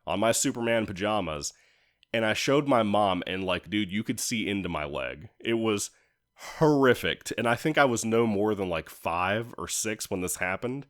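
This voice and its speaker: A man's voice: lowish voice, animated, normal speed. A man in his thirties giving a casual, rambling solo review, informal and enthusiastic, with some mild swearing. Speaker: video reviewer.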